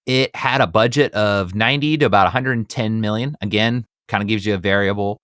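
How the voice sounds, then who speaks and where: A man's voice: fairly low pitch, a little fast, a bit bright, smooth, dry recording, clean studio sound. A middle-aged man with an American accent hosting a casual podcast, chatty, upbeat and enthusiastic. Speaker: podcast host.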